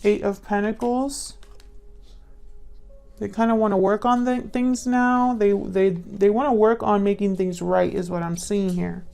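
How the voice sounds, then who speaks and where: A woman giving a casual intuitive tarot reading to viewers, musing and pausing as she thinks aloud. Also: medium pitch, steady tone, vocal fry, warm tone. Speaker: tarot reader.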